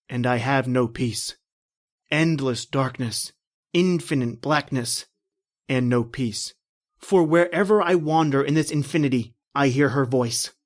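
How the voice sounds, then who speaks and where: A man's voice: on the high side, lightly acted. A man playing a guilt-ridden, terrified doomed man, declaiming urgently and melodramatically in old-time radio horror style. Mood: bleak, haunted.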